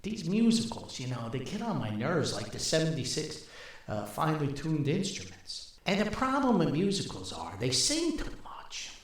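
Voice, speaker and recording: An older man telling a rambling, casual story as a podcast host, with a folksy, wry, halting manner. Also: on the high side. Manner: folksy, wry, animated, halting with long asides. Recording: very echoey, reverberant room.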